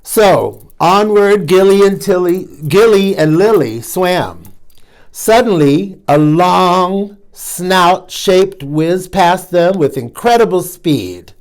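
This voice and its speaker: A man's voice: high-pitched, lively intonation, slow. A man hosting a children's storytime, reading aloud and chatting to young listeners in asides. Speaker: storytime reader, drag queen host.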